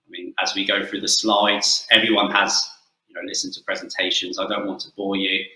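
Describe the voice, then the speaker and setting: Coach giving a talk, English accent, deep bright voice, earnest, roomy sound. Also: male voice, level tone, a little fast. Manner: earnest, with frequent "uh" fillers. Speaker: football coach and guest speaker. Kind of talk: presentation talk.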